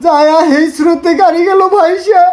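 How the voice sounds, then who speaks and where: Woman acting a high-voiced whining Bangladeshi village swindler. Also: fairly quick, close to an everyday voice. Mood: tearful whining. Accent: rural Bangladeshi. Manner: pleading, melodramatic. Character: sly village swindler man, whining and wheedling. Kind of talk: comic skit acting.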